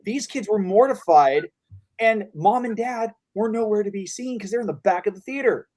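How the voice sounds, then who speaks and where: A man's voice: high-pitched, normal speed, darkish tone. A man co-hosting a pop culture podcast, telling an anecdote with dry asides. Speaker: podcast co-host. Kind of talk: casual chat.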